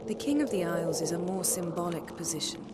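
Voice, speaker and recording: An actress playing a poised, sharp-tongued aristocratic woman in a fantasy game, measured and haughty. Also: on the low side, lively intonation, heavy background noise, natural voice. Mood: coolly diplomatic. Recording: loud music behind the voice.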